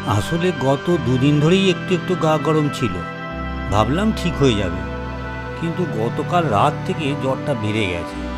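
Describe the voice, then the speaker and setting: A man playing a humble, obedient household servant who speaks deferentially in an animated moral story. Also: mid-range voice. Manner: deferential, explanatory.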